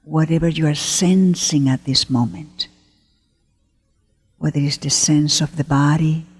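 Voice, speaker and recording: A woman leading a guided meditation as a teacher, gentle and unhurried, pausing often between short phrases in a breathy voice. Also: deep voice, fairly even intonation. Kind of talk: guided meditation, spoken slowly to listeners. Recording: long hall-like reverb.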